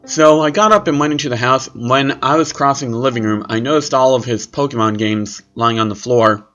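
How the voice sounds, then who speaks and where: A man's voice: medium pitch, fairly quick, a bit bright, faint music behind. A man reading a scripted first-person horror story as its narrator. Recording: clearly noisy recording with clicks.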